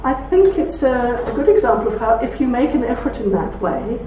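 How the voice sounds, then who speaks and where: A woman with a broad Belfast accent speaking to an audience, telling anecdotes with warmth and laughter. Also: on the high side, animated, a little fast, slight background noise. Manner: warm anecdotal storytelling with laughs. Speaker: speaker at a talk. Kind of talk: talk with audience questions. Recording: roomy hall from far off, dull.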